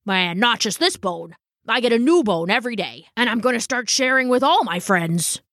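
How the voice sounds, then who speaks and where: A woman's voice: on the high side, lively intonation, moderate pace, a bit bright, dry recording, clean studio sound. A woman voicing a humble, earnest old male dog in a storybook, speaking hesitantly and sincerely. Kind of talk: storybook character voice.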